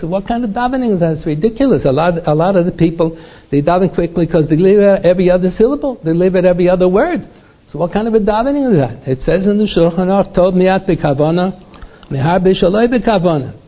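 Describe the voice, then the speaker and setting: An older American man, a rabbi giving a Torah lecture to a congregation, repeating words and asking rhetorical questions, peppered with Yiddish and Hebrew terms. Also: sits high. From United States. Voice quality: gravelly, husky. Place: synagogue lecture. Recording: dull lo-fi recording.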